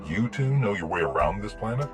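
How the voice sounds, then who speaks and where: A man's voice: fairly low pitch, big pitch swings, a little fast. A man playing a confident warrior hero in a video game, with a dry, wry delivery. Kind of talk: video game character acting. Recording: very loud music behind. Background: game soundtrack music.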